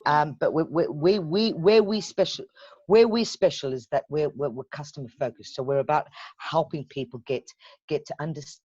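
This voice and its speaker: A woman's voice: sits low, normal speed, a little mellow, breathy edge, no room sound, highs cut off. A woman from Zimbabwe with a Zimbabwean accent, chatting as a guest in an interview and often restarting her sentences. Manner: chatty, many restarts and repeated words. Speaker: guest, business development. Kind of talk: casual interview chat.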